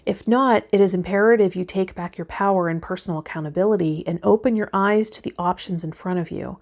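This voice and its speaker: A woman's voice: mid-range voice, a little fast, darkish tone. A woman hosting a spiritual vlog, giving tarot guidance and speaking directly to her viewers. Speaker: tarot vlog host and healer. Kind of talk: spiritual guidance, part read aloud, part casual talk to viewers.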